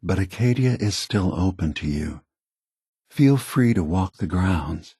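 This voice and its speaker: An actor playing a calm, grave synthetic man, a weary scheming leader, with a husky, measured, deliberate delivery for a video game. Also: low-pitched, no room sound, clean studio sound, full character voice. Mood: calm, welcoming.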